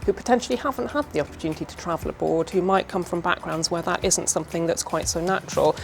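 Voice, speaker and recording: Fast-talking, articulate middle-aged woman. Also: lowish voice, vocal fry, a little hiss or hum. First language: English. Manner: articulate, enthusiastic, measured. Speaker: university vice-chancellor. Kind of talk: conversational interview.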